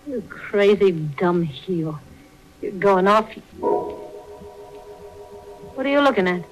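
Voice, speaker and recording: An actress playing a brassy, scheming gangster's wife in a 1940s radio crime drama, with an American accent and slangy, sarcastic speech. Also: female voice, mid-range voice, expressive, takes it slowly, soft background music, low-quality recording. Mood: mocking. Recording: vintage 1940s radio broadcast.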